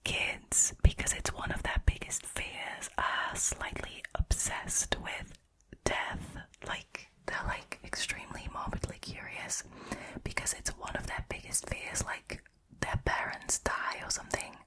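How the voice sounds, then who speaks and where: A woman speaking in a breathy whisper, telling short stories and chatting casually about them in a relaxed ASMR style. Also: unhurried. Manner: informal, chatty, amused. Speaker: host reading and reacting to short stories.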